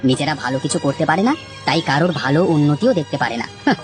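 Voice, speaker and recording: Cartoon family man, played by a woman: lowish voice, quick talk, level-headed and reassuring, faint music behind. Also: animated. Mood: calm. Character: calm, reasonable village husband with office job. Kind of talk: animated children's story dialogue.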